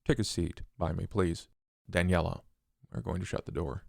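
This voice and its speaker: A man voicing a cold, controlled killer in a tabletop role-play, questioning in a deliberate, formal and menacing way. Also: deep voice, vocal fry, a little mellow, no echo, clean studio sound, full character voice. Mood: measured, probing. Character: cold, controlled male killer interrogating his copycat.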